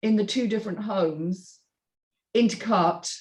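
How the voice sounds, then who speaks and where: A woman's voice: mid-range voice, unhurried, a little mellow. A British woman hosting a casual panel discussion, talking thoughtfully and conversationally, often seeking agreement. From Britain. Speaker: host of a script reading panel.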